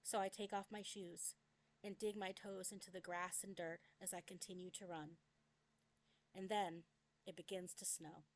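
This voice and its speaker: A young adult woman reads her own memoir aloud as a scripted dramatic narration, with a deliberate, expressive delivery. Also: lowish voice. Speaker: memoir author reading own work.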